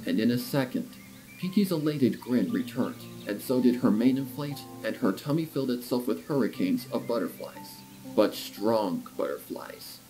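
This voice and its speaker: A man reading scripted fan fiction as an expressive narrator telling a story. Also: medium pitch, normal speed, low-quality recording. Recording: guitar music behind the voice.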